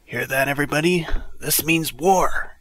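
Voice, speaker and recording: A man's voice: fairly high pitch, normal speed, fairly bright tone. A man playing a wisecracking cartoon crocodile with a mock-dramatic, joking delivery in an amateur radio drama.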